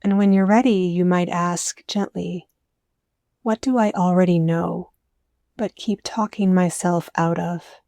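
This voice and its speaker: Low, mellow woman guiding a meditation. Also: fairly even intonation, gravelly, no room sound. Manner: soothing, unhurried phrasing with soft invitations. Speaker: meditation guide. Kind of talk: guided meditation narration, gentle and scripted.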